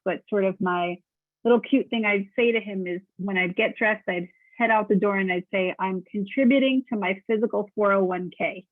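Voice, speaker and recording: A woman's voice: medium pitch, no room sound. A middle-aged woman moderating a community webinar, friendly and organized, talking casually with fillers. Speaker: program host for a neighborhood village. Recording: tinny phone-line audio, dry.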